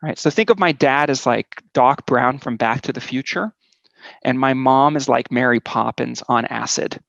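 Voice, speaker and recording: A man's voice: mid-range voice, moderate pace, creaky, a bit bright, dry recording, muffled recording. A man in his forties, raised in Switzerland, telling his personal story in a conversational talk, with many "um"s and a tag of "right?". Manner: conversational, frequent "right?" and "um" fillers. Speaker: guest speaker.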